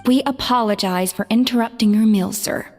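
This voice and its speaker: A woman voicing a stern, composed and exacting head chef in a video game, speaking in a brisk, formal, commanding way. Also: on the high side, darkish tone, long reverb tail, soft background music.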